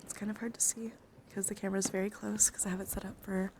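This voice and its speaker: A woman hosting an ASMR livestream, chatting in soft whispers and hushed talk, with slow, repetitive phrasing. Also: mid-range voice, flat delivery. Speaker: livestream host. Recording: clear background noise on livestream.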